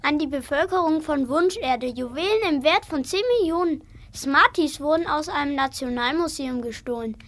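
A child playing a shrewd secret agent in an amateur radio play, speaking deliberately and formally. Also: high voice, a bit bright. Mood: official, reading out. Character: shrewd male secret agent, detective of a fantasy world.

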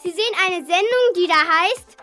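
Child acting a very high-voiced TV saleswoman. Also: normal speed, pushed and bright. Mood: salesy. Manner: salesy, direct address. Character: Cookie, slick female TV presenter selling a miracle product. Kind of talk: TV advert pitch in children's radio play.

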